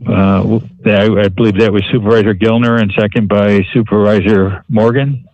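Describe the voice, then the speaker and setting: A man runs a board meeting by the book, with set phrases for motions and votes. His voice sits a little low. The sound is dry and slightly dull. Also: fairly even intonation, moderate pace, a little mellow, no room sound. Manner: procedural, formulaic calls for motions and votes. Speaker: board chairman running the meeting. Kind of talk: formal parliamentary procedure.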